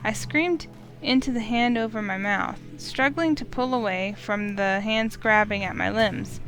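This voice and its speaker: A woman reads a visual novel aloud in first person, steady and expressive, with the odd stumble. Her voice sits fairly high. Soft game music plays behind her. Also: a little slow. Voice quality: steady, expressive reading with small slips. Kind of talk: first-person story narration.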